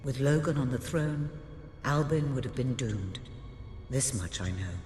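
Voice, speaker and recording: Game cutscene mentor male actor, grave and foreboding, mid-pitched, heavy reverb, music underneath. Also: expressive, a little slow, darkish tone, lightly acted. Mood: stern, foreboding. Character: solemn, cryptic mentor prophesying doom to a new king. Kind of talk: dramatic video game cutscene dialogue. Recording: echoey hall reverb, music behind.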